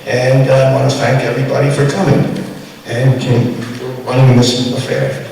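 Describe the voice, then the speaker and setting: A man giving a halting, plainspoken speech at a community event. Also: mid-range voice, little pitch movement, gravelly, a little mellow. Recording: echoey hall, distant mic, background noise.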